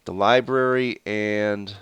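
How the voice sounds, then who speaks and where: A man's voice: fairly low pitch, fairly even intonation, takes it slowly, fairly bright tone, clean tone, dry recording, dull audio. A man with a stuffed-up head cold and a nasal voice, giving rambling, casual let's-play commentary full of fillers and self-corrections. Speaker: gameplay commentator.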